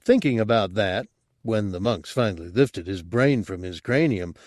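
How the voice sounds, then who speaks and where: A man's voice: lowish voice, animated, normal speed, darkish tone, no echo, clean studio sound. A man reading science fiction as an audiobook narrator, in a dramatic storytelling style.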